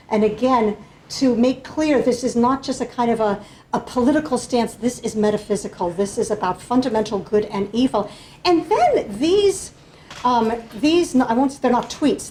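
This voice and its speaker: A woman's voice: on the high side, darkish tone, a little hiss or hum, poor audio. A woman speaking as a panelist, giving an academic lecture with slides in an explanatory way, often checking in with the audience.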